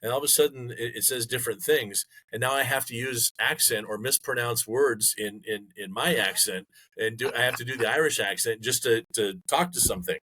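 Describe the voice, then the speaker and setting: A middle-aged man co-hosting a podcast, chatting casually and telling jokey stories with lively retellings. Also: mid-range voice, brisk, few pauses, poor audio. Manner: joking storyteller, animated retellings. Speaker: podcast co-host. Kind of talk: casual chat.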